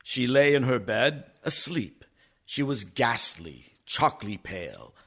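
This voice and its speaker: An actor in a radio play playing an earnest young doctor, narrating in a formal, deliberate way. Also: male voice, mid-range voice, very animated, slightly rough, exaggerated voice. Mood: grave narration.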